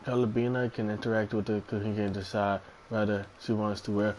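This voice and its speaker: A man's voice: on the low side, flat delivery, brisk, background noise. A man live streaming a video game, reacting casually in murmurs and reading bits of on-screen text. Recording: clear game ambience behind voice. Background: video game audio.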